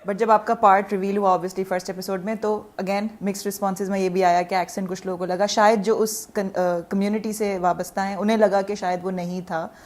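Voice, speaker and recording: Mid-pitched Pakistani woman interviewer. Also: little pitch movement, a little fast, hardly any pauses, darkish tone. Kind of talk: conversational interview questioning.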